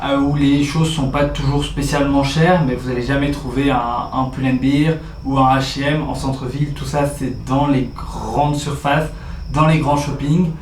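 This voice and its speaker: A teenage man from Belgium, chatting casually as he answers viewer questions about his exchange year. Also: mid-range voice. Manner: chatty, reads out questions then answers them. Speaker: exchange student vlogger. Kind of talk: casual vlog Q&A. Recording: roomy echo with faint ambient noise.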